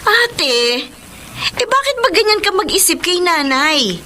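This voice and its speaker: A woman playing a dutiful young daughter, earnest and expressive, in a radio drama. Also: very high-pitched, unhurried, full character voice. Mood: indignant. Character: dutiful younger sister defending her mother. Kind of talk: Filipino radio drama acting. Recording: noisy radio drama track.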